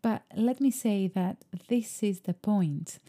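A woman whose first language is Greek, speaking in a slow, meditative, musing way about creativity. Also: mid-range voice, warm tone. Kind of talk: reflective spoken monologue.

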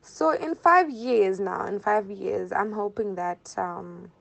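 A woman's voice: mid-range voice, slow pace, creaky, rough recording. A woman in her early twenties with a Zimbabwean accent, chatting informally as an interview guest and often repeating phrases for emphasis. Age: early 20s. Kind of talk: casual conversational interview answers.

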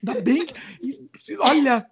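Chatty Brazilian woman with a high voice. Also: unhurried, heavy background noise. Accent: Brazilian Portuguese. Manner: chatty, stumbles over reading, jokes about her age. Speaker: host reading viewer questions. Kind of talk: casual chat.

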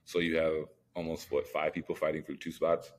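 A man's voice: very deep voice, little pitch movement, fairly quick. A man co-hosting a podcast, arguing sports opinions in a casual, slangy way, with some noise on the recording. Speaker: podcast co-host.

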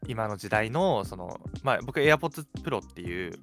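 A man's voice: lowish voice, measured pace. A young adult man co-hosting a radio show, chatting informally and acting out little exclamations as he talks. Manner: animated, informal storytelling with quoted exclamations. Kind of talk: casual chat. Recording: background music behind the talk.

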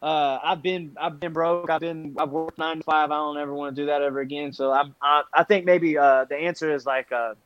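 A man's voice: a little high, fairly even intonation, fairly quick, hardly any pauses, no room sound, dull audio. A man in his thirties who coaches and fights, chatting casually on a podcast and repeating himself emphatically.

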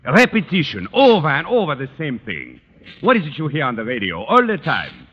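A man playing an excitable, persuasive Frenchman with a French accent in a 1940s radio comedy. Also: fairly high pitch, brisk, in a large hall. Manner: emphatic, animated, persuasive. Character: excitable Frenchman, scheming romantic adviser. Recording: noisy muffled old radio recording.

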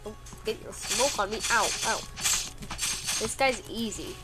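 A woman's voice: medium pitch, unhurried. A woman with a congested, sniffly voice, chatting casually and rambling while she plays a game, playfully complaining whenever she gets hit. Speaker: gameplay video creator. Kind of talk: casual gameplay commentary. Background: loud game music.

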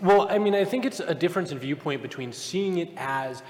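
A man with a high voice talks quickly and casually on a live panel. There is long hall reverb and faint room noise around him. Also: lively intonation. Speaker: panelist from the games industry. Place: large convention panel room. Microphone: stage microphone and PA.

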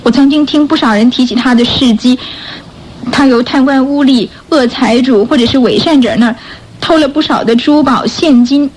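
A woman's voice: sits high, normal speed, a little mellow, slight background noise, lightly acted, poor audio. A woman acting in a radio drama as a timid, repentant young orphan thief, speaking earnestly and hesitantly, as if pleading. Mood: earnest, hopeful.

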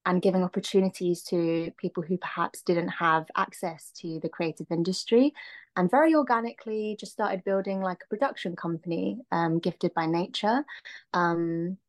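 A woman's voice: on the low side, moderate pace. A woman in her thirties, a singer-songwriter guest, talking reflectively in a casual interview with many ums and likes. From Filipina-Sri Lankan, raised in the UK. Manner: reflective, lots of "um" and "like".

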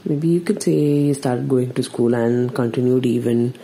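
A woman's voice: rumbling low, measured pace, dark tone, husky, low-quality recording. A woman from India whose first language is Tamil, speaking in an earnest, hesitant way with many fillers. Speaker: podcast guest. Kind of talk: casual recorded message.

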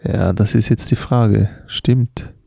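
A young man co-hosting a casual podcast, often pausing on filler sounds and laughing easily. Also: fairly low pitch, fairly even intonation, vocal fry, dark tone, breathy edge, no echo, studio quality. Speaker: podcast co-host. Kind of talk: casual chat.

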